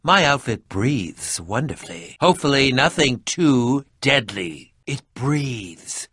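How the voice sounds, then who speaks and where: A man's voice: mid-range voice, sing-song, takes it slowly, fairly bright tone, slightly rough, dry recording, clean studio sound, heavily acted. An actor playing an eccentric, prickly male adventurer in a video game, with a pompous, melodramatic and sardonic manner. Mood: wry. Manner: theatrical, pompous, sardonic, formal wording. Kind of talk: animated video game voice acting.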